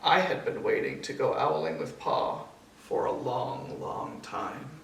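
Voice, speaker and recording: A man reading a children's picture book aloud in a measured storytelling way, with deliberate pauses. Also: mid-range voice, sing-song, vocal fry, a bit bright. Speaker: storybook reader. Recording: echoey room, distant mic, faint noise.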